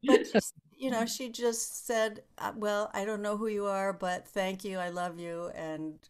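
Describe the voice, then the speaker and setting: A woman in a casual conversation, telling stories with lively acted-out quotes. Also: mid-range voice, unhurried, smooth.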